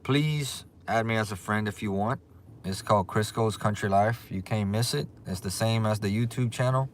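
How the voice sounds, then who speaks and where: A man with a strong Cajun accent, hosting a casual livestream, chatting in a relaxed way and greeting viewers by their comment names. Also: lowish voice, normal speed, a little hiss or hum. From Louisiana. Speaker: livestream host.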